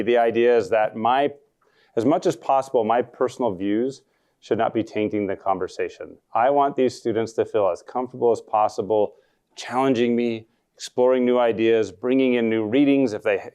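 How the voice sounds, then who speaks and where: A man with a deep voice, a law professor answering audience questions at a talk in an earnest, conversational way that often backs up and corrects itself. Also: darkish tone. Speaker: law professor, panel speaker.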